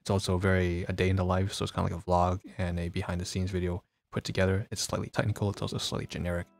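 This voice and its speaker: A man's voice: low-pitched, monotone, fast talker, vocal fry, dry recording. A man streaming a casual vlog, rambling with lots of fillers and restarts, sounding congested. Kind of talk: casual livestream vlog talk. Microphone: phone.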